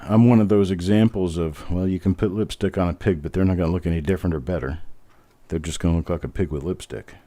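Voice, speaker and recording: A man hosting a live coin reveal, with a smooth deep voice, chatting in a relaxed way and making self-deprecating jokes. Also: fast talker, no echo. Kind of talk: casual live-stream commentary.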